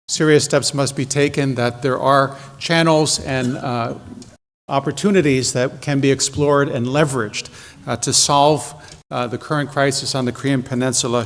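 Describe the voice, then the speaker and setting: Man, forum moderator, formal introductions, many pauses, echoey hall. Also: medium pitch. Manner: measured, with frequent filler pauses. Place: large conference hall. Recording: conference hall reverb, faint room noise.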